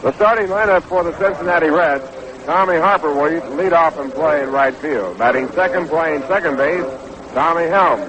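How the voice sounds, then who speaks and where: A man calling baseball as a play-by-play announcer in a measured 1960s radio style, reading out details in orderly fashion. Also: on the high side. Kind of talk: 1960s radio sports commentary. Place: ballpark broadcast booth. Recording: noisy vintage 1960s radio broadcast recording.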